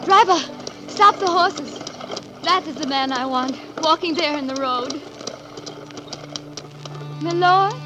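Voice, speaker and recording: A woman's voice: high voice, slow, long pauses. An actress playing an earnest, gracious noble lady in love, refined and theatrical, in 1940s radio style. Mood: earnest, wooing. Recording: vintage 1940s radio broadcast, music behind.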